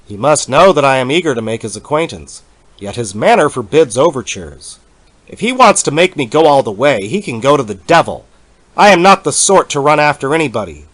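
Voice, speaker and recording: A man performing a lonely, fussy, self-pitying middle-aged academic in a dramatic horror story reading, with formal, measured diction. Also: fairly high pitch, fairly bright tone, dry recording.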